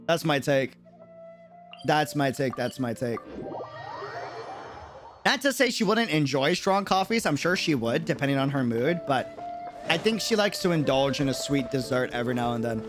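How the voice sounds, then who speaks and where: A man's voice: medium pitch, expressive, moderate pace, long pauses. A man chatting casually and musingly on a game livestream in slangy speech, with game music behind him. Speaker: game streamer.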